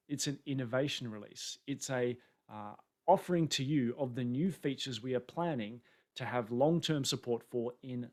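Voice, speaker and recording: Aussie guy doing a webinar talk on a video call, chatty and self-deprecating, normal pitch. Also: a little mellow. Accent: Australian. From Australia. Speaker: conference presenter. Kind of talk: webinar presentation. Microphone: webcam setup on a video call.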